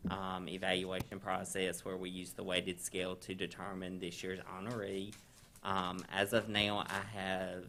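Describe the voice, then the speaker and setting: Hoarse male presenter, deep flat gravelly voice. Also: a little hiss or hum. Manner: low-key, gravelly. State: losing his voice, getting over a sinus infection. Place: council chamber.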